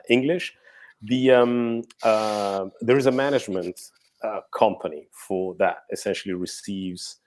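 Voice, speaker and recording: A man from Italy with an Italian accent, a lawyer and podcast guest, talking in a chatty, joking way with halting fillers over a video call. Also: on the low side, darkish tone, dry recording.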